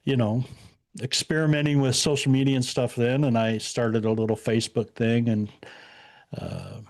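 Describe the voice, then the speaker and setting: A man's voice: medium pitch, little pitch movement, normal speed. Retired guy in his 60s rambling along, lots of uhs, plain middle voice. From Indiana. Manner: easygoing, rambling with many fillers. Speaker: podcast guest. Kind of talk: casual interview chat.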